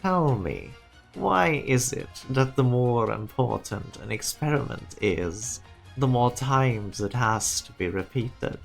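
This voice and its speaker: A man voicing a stern, self-assured laboratory boss in an amateur fan dub, with a measured, condescending manner. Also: medium pitch, animated, creaky, soft background music. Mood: cold, exacting.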